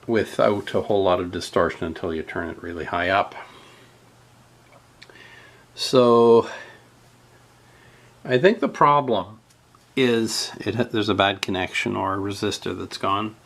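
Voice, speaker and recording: A man giving an unscripted hands-on repair tutorial, thinking aloud haltingly as he works. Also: fairly low pitch, fairly bright tone. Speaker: host of a radio repair video.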